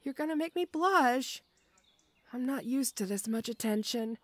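Audio roleplay: woman as a strong but gentle orc schoolgirl, high voice, stammering and shy, very dry recording. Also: lively intonation, measured pace, dry recording. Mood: flustered. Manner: hesitant, stammering, bashful.

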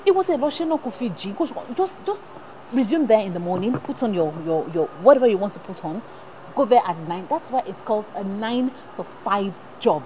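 A woman's voice: medium pitch, big pitch swings, brisk, dark tone, a little hiss or hum, natural voice, poor audio. An actress playing a worried mother who scolds and coaxes her grown son, switching between languages. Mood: exasperated. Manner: code-switching, scolding and coaxing. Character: worried middle-aged mother mediating at home. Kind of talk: emotional family drama acting.

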